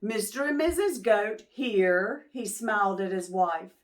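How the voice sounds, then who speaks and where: A woman reading a picture book expressively to preschoolers, pausing to question and explain. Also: mid-range voice. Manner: pauses to question and explain to young listeners. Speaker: children's storytime host.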